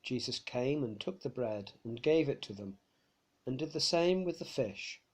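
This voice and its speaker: A man's voice: mid-range voice, animated, moderate pace, a little mellow. Man reading scripture aloud. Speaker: Bible reader.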